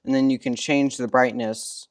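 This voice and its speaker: A man's voice: medium pitch, fairly even intonation, moderate pace, no echo. A man explaining features step by step as a product demonstrator, recorded indoors in a workshop.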